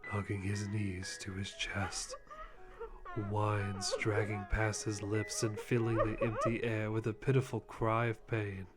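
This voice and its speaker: A man's voice: fairly low pitch, little pitch movement, unhurried. A man giving a dramatic live reading of fan fiction in a mock-serious way, often close to laughing. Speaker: channel host reading a fanfic. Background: background music.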